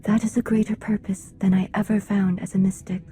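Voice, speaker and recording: An actress playing a gentle, devoted female mystic turned leader in a video game cutscene, soft and sincere. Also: mid-range voice, level tone, a little fast, soft background music, lightly acted. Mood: quiet sincerity.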